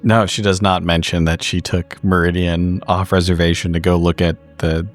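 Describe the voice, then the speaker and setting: A man playing a tabletop game, describing his character's choices conversationally in a reflective, halting way. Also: low voice, little pitch movement. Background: background music.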